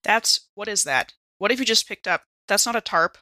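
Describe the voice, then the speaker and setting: A woman voicing a stern, disapproving female mechanic who speaks in a clipped, deadpan way. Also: medium pitch, moderate pace. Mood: alarmed.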